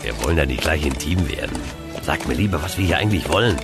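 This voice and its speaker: A male actor playing a grumpy, sardonic, bossy heavenly designer in a radio comedy, with a gravelly voice. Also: extremely low pitch, lively intonation, fast talker, over loud music. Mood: grumbling. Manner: sardonic, grumbling.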